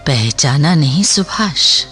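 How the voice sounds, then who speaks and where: Give me a slow, plaintive, long-suffering widow. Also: female voice, low voice, expressive, a bit bright, faint music behind, heavily acted. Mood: tentative. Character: impoverished motherly woman living as a widow, long-suffering. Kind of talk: Hindi radio play acting.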